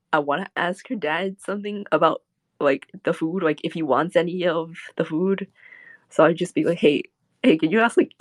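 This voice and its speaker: Woman streamer, low register, relaxed rambling chat with frequent laughs, dry booth sound. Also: dry recording. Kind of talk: casual late-night stream chat.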